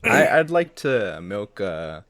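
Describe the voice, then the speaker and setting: A man's voice: lowish voice, big pitch swings, slow, crowd noise. A man in his twenties chatting casually as a podcast co-host. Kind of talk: casual group chat.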